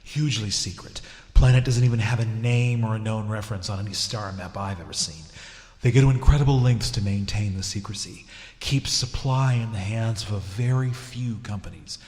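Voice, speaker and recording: A man's voice: lowish voice, moderate pace, darkish tone, natural voice. A man playing a blunt, skeptical starship commander in an audio drama, with a wry, informal way of talking. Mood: explaining. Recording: echoey room with long reverb.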